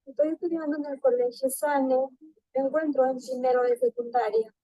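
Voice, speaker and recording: A woman's voice: sits high, steady tone, normal speed, no room sound, highs cut off. A woman from Peru giving a short testimonial as a student, in a plain, read-out self-introduction.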